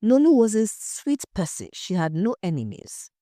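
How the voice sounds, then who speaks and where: A woman voicing an expressive married hostess in an audio story. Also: medium pitch, moderate pace, no room sound, clean studio sound, voice pushed up, natural voice. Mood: defensive, insistent. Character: gracious married hostess, grieving friend, defensive when questioned.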